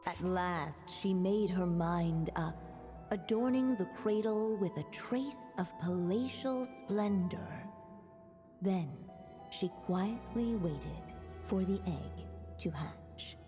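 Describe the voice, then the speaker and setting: A woman voicing a composed storybook narrator in a video game, telling a fairy tale in measured, literary phrases. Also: lowish voice. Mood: solemn, tender. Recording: long reverb over soft music.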